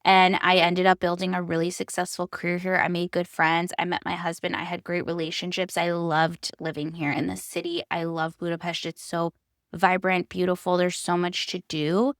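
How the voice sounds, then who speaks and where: A woman's voice: fairly low pitch, flat delivery, normal speed, no room sound, studio quality. A woman in her thirties with an American accent, talking candidly and emphatically as a solo podcast host. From United States (California), born in Hungary. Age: 30s. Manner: conversational, emphatic, repeats phrases for stress.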